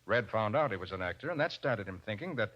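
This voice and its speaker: An actor playing a commanding, quick-witted detective hero, brisk and confident, in a 1940s radio crime drama. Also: male voice, on the low side, animated. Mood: explaining, assured. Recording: dull vintage 1940s radio recording.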